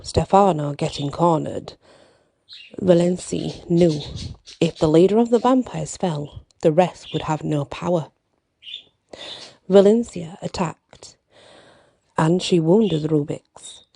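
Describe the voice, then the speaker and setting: A woman reading an ASMR bedtime fantasy story with deliberate, dramatic phrasing and short pauses, close to the mic. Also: deep voice, vocal fry, mellow, slight background noise.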